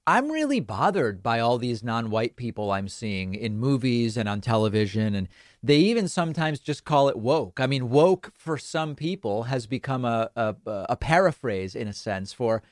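A man hosting a political commentary show, speaking in a measured, conversational way. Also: medium pitch, no room sound, clean studio sound.

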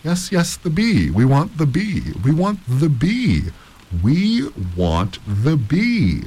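A man's voice: medium pitch, wildly swooping pitch, a little slow, creaky, fairly bright tone, voice pushed up, exaggerated voice. Man acting a rowdy, chanting dinner heckler. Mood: rowdy, demanding. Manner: chanting, insistent. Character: rowdy, tipsy male scientists heckling at dinner. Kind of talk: acted dialogue in a storybook reading.

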